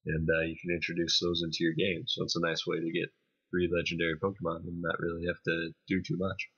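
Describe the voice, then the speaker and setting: A man co-hosting a podcast, giving a casual video game review in a hesitant, explanatory way with many pauses. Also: deep voice, monotone, a bit bright, slightly rough, highs cut off. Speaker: podcast co-host reviewing games.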